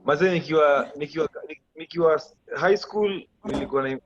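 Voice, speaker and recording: A man in his thirties with a Kenyan accent, a musician guest on a video call interview, giving reflective, measured explanations. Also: fairly high pitch, a little hiss or hum. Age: 30s. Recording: dull lo-fi video call audio.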